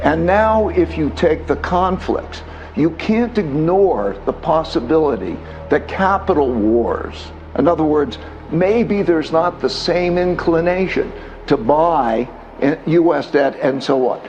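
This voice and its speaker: A man explaining in an interview, deliberate and insistent, with halting phrasing. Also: a little high, animated, darkish tone, lo-fi sound, faint music behind. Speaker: financial commentator, interview guest.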